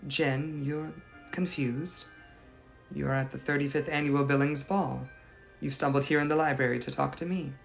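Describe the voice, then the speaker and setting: A man's voice: on the high side, fairly even intonation, moderate pace, gravelly, faint music behind. A man voicing the ghost of a young lady from the 1880s, speaking in a formal, old-fashioned and gracious manner. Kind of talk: improvised live roleplay answering viewers.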